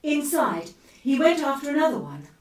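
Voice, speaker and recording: An inquisitive young Victorian lady, played by a woman in a radio play. Her voice is high and very animated, heard in a roomy space off the mic. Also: moderate pace, recorded from a distance. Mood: breathless alarm. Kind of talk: radio drama acting.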